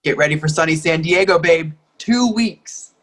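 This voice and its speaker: A man's voice: fairly high pitch, moderate pace, fairly bright tone, close to an everyday voice. A man playing an upbeat, affectionate thirty-ish boyfriend voicing his text messages in a play reading. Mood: excited, confident.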